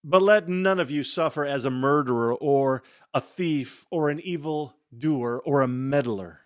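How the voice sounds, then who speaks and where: A man giving a dramatic reading of scripture in a solemn, theatrical manner, with emphatic phrasing and deliberate pauses. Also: mid-range voice. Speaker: Bible reader.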